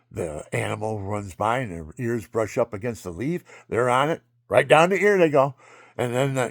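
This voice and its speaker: A man telling a rambling personal story in a monologue, jumping between loud outbursts and low mutters. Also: no room sound, studio quality. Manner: calls himself low-voiced.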